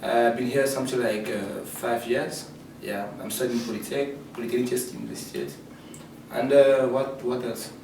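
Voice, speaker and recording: A man's voice: mid-range voice, steady tone, normal speed, gravelly, darkish tone. A young man from Cameroon, laid-back and giggly as he talks. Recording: very echoey noisy room, distant mic.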